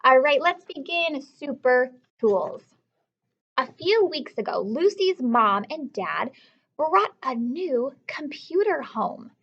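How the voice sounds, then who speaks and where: A woman with a high voice reads aloud to young children as their teacher. She takes her time, expressive and instructive, talking straight to the listeners. The sound is slightly dull and lo-fi. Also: slow. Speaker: elementary school teacher. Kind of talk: children's story read-aloud with teaching questions.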